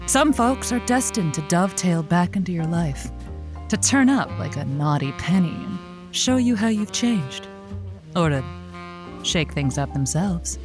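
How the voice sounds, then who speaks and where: An actress playing a sardonic, flirtatious late-night radio host in an audio comedy, teasing and theatrical. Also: female voice, on the low side, animated, unhurried, music in the background. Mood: sultry, playful.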